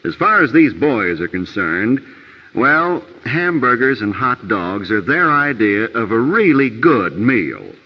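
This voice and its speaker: A man's voice: medium pitch, big pitch swings, takes it slowly, breathy edge, exaggerated voice. A man giving scripted narration for an educational film from the 1950s. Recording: old film soundtrack, muffled, reverberant.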